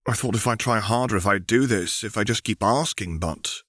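A man reading aloud as an earnest, modest young apprentice, a touch lighter than his own low voice. He sounds sincere and gently apologetic, recorded close and dry. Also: moderate pace, dry recording. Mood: sympathetic. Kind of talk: audiobook fan fiction reading.

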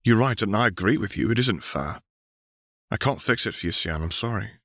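A man reading aloud as an earnest, modest young apprentice, a touch lighter than his own low voice. He sounds sincere and gently apologetic, recorded close and dry. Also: animated, brisk, no echo. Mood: sympathetic. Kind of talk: audiobook fan fiction reading.

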